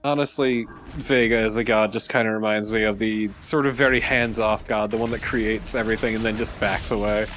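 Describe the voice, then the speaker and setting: A man's voice: lowish voice, fairly even intonation, a little fast, fairly bright tone. A man co-commentating on a video game, chatting casually with a joking, irreverent manner. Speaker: co-commentator on a let's play. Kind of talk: casual chat over gameplay.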